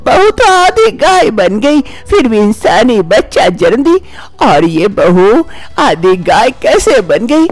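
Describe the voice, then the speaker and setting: A woman's voice: a little high, big pitch swings, slow, dry recording, heavily acted. A woman voicing a fussy, worried old mother-in-law who scolds and exclaims. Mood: astonished.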